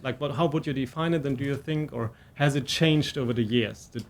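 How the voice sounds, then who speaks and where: A man's voice: mid-range voice, brisk, slight background noise. A middle-aged man hosting an interview, asking questions with many fillers and restarts. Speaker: interviewer, university president. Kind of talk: conversational interview.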